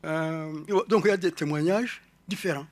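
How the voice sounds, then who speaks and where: A man from Rwanda with a Rwandan French accent, speaking to an audience in a halting, explanatory way, often repeating words and restarting. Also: a little high. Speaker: conference speaker. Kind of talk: lecture-style talk to an audience.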